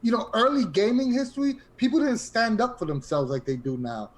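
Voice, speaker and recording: A man's voice: high voice, expressive, brisk. A man telling personal stories in an informal, emphatic way in a casual group chat. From Queens, New York City. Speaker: podcast panelist.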